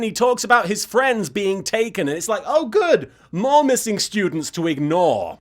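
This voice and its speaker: A man hosting a comedic recap show, giving sarcastic commentary with exasperated rants. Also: high voice. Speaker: host of anime recap show.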